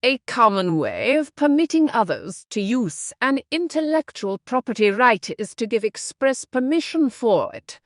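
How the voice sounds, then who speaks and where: A woman's voice: fairly high pitch, very animated, measured pace, few pauses, no echo, studio quality. A woman reading scripted practice passages aloud for an English exam lesson.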